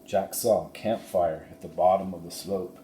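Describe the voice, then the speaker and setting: A man giving an expressive narration of a children's storybook. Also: deep voice, moderate pace. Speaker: story reader. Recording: roomy echo, faint background noise.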